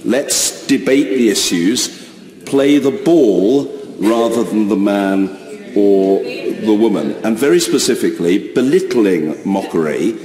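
A man in his fifties, the speaker of the house, giving a formal ruling with elaborate phrasing and deliberate delivery in a large chamber. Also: on the low side, animated, dark tone. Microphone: chamber microphone, seated. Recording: roomy chamber with long reverb.

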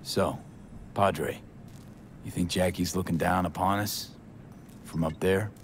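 A guarded young street mercenary in a video game, with a low voice and a mournful edge. Faint rumbling noise sits behind him. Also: male voice, fairly even intonation, slow. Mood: low-key, curious. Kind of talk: naturalistic video game acting.

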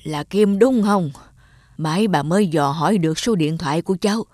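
A woman voicing a worried old grandmother in a cartoon, talking in a slow, sorrowful, halting way. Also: lowish voice, a little mellow, no room sound, studio quality, close to an everyday voice.

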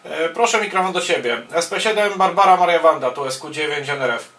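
Higher-voiced man in a roomy shack. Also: fairly quick, fairly bright tone. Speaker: amateur radio operator. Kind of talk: casual ham radio contact, spoken in turns. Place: small home radio room, indoors. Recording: roomy, echoing home-video sound.

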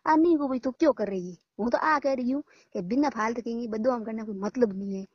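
A woman's voice: on the high side, fast, husky. A woman with a Rajasthani Marwari accent arguing heatedly on a phone call, combative and coarse, swearing. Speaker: caller in a recorded phone call. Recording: muffled, echoey phone call recording.

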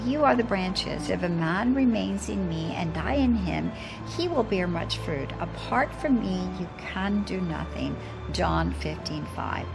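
A woman reads a scripted Bible study devotional in a measured, enunciated manner. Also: mid-range voice, creaky. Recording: background music under voice. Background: music.

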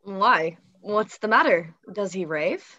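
A woman's voice: medium pitch, lively intonation, takes it slowly, pushed and bright, heavily acted. A young woman playing a lovesick, earnest noblewoman in a Shakespeare comedy, read over a video call. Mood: anxious, lovesick. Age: young adult. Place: indoor room, earphones on video call. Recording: slightly dull video call audio.

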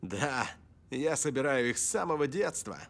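A man voicing a cool, confident young football star in a cartoon dub, smooth and laid-back. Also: mid-range voice, lively intonation, normal speed, a little hiss or hum. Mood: relaxed, private. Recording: music behind the voice.

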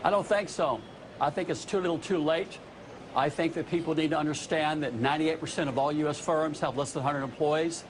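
A man's voice: fairly high pitch, fairly even intonation, brisk, background noise. A middle-aged man speaking as a guest on live TV from a remote studio, blunt and emphatic, reeling off figures. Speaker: small business advocate guest. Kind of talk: live TV interview. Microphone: remote studio camera.

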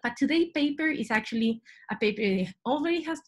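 Higher-voiced young Chilean woman presenting. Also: expressive, brisk. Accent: Chilean Spanish. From Santiago, Chile. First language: Spanish. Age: young adult. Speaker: neuroscience PhD student, presenter. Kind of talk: informal science presentation. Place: small indoor room at home. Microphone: webcam video call.

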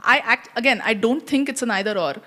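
A woman's voice: fairly high pitch, fast talker, sharp tone. A woman gives a conversational, explanatory answer as a panelist. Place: indoor conference stage. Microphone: handheld microphone.

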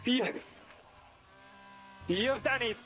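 Over a team radio with faint vehicle noise comes a male race engineer. His voice sits high, and he gives short instructions at a slow, measured pace. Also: a bit bright. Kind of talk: terse radio instructions and callouts to a driver. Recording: noisy motor racing team radio.

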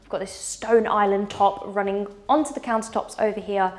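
A woman's voice: mid-range voice, fairly even intonation, moderate pace. A young woman presenting a walking tour of a large house, enthusiastic and gesturing as she goes, on a lapel mic. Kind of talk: upbeat house tour narration. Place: large furnished house indoors.

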